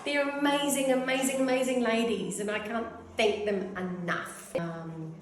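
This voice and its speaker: A woman's voice: on the high side, steady tone, a little slow, background noise. Rambling, effusive New Zealand woman in her fifties. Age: 50s. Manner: rambling, effusive, goes off on tangents. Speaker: clinic client giving a testimonial. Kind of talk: casual testimonial interview. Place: clinic room. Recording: very echoey room.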